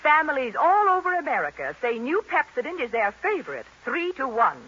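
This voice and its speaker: A woman's voice: high-pitched, sing-song, normal speed, sharp tone. A woman reading a 1940s radio commercial with emphatic, scripted salesmanship. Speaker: advertisement announcer. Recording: muffled vintage radio broadcast.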